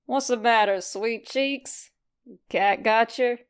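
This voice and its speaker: A woman voicing a gruff, smug, flirty male skeleton monster who talks in slangy tough-guy phrasing, in a storybook reading. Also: fairly high pitch, takes it slowly. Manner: slangy tough-guy drawl with dropped grammar.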